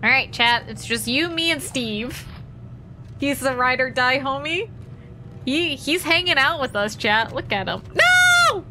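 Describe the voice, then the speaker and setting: A woman's voice: high voice, big pitch swings, measured pace, bright, forceful tone, a little hiss or hum. A young woman streaming a game, chatting playfully with her chat as she plays. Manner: playful, chatty, talks to chat while playing. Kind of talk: casual gameplay commentary to chat.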